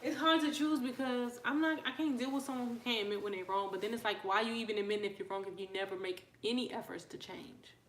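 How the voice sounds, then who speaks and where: A woman's voice: on the high side, quick pace, few pauses, slight background noise. Chatty woman livestreamer in an echoey room. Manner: chatty, asks viewers questions, shouts out newcomers. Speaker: livestream host. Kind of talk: casual livestream chat, reading viewer comments.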